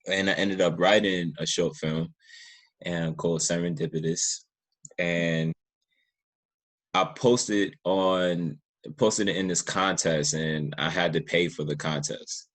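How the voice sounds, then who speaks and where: A man's voice: low-pitched, steady tone, slow pace. A man hosting a casual podcast interview, speaking in an earnest, effusive and encouraging manner. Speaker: podcast host and writer.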